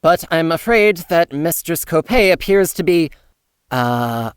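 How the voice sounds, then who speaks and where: An actor playing a nervous, fussy male steward in an audiobook, haughty and quick to sputter with outrage. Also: on the high side, lively intonation, clean tone, no room sound, studio quality. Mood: apologetic, sputtering. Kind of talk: full-cast audiobook acting.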